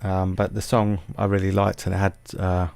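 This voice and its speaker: A man's voice: low voice, monotone, moderate pace, creaky, darkish tone, smooth, no echo. A man narrating a music production tutorial in a casual, hesitant, self-deprecating way.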